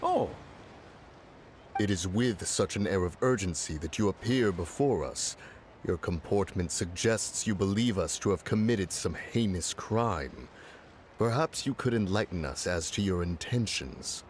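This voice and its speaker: A man voicing a courteous, solemn, old-fashioned gentleman in a video game, with lofty and stilted formal speech. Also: sits low, animated, measured pace, a little hiss or hum.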